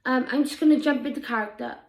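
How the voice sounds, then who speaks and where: A woman in her mid-twenties chats casually to a camera as a video host. Her voice sits fairly high with noticeable creak, and she takes her time. The room sounds echoey. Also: fast. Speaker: video host and amateur actor. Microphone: camera held by another person.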